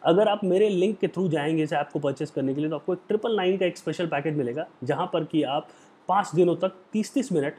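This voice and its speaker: A young man with an Indian accent giving an earnest motivational talk to the camera on a lapel mic in a small room, lecturing and slowing down for English phrases. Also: on the high side, a little mellow, slightly rough. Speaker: hospitality career vlogger. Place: small indoor room, plain wall.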